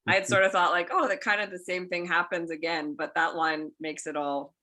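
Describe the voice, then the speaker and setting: A woman's voice: fairly low pitch, flat delivery, fairly quick, bright, forceful tone. A woman talking casually in a group, sharing her reactions informally. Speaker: voice actor giving feedback. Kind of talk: casual chat.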